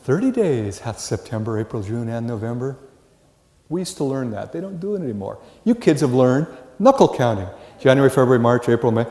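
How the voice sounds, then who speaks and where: A man's voice: mid-range voice, very animated, fairly quick, warm tone. An older man with an American accent lecturing to a class, folksy and full of rhetorical questions. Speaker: college lecturer. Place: large indoor classroom or lecture hall. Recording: echoey lecture hall with long reverb.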